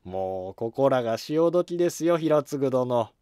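A man's voice: medium pitch, lively intonation, warm tone, smooth, dry recording, clean studio sound, lightly acted. A man voicing a composed, taunting, bearded veteran general in a historical drama, with theatrical, commanding delivery. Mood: calm, reproving. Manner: slow, weighty, theatrical delivery.

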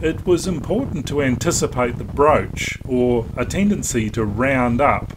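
Male host, steady instructive voice-over, mid pitch, some background noise. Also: poor audio. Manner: calm, instructive. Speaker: sailing vlog host. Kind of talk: scripted voice-over narration. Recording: wind noise on deck.

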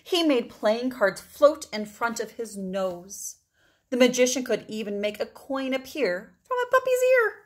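A middle-aged woman reading a children's picture book aloud expressively, with deliberate phrasing and emphatic pauses. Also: a little high, very animated. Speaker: read-aloud storyteller.

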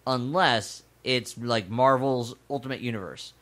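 Dude explaining comics in a podcast, slow, emphatic, normal pitch. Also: male voice, a bit bright. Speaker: podcast co-host. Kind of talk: casual chat.

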